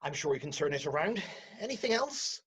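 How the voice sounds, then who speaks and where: A firm, officious team leader played by a woman in a radio comedy. Her voice is very low and husky, with managerial authority and lively intonation. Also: a little fast, exaggerated voice. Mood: businesslike. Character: firm, officious female head of a counselling team. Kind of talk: radio play acting.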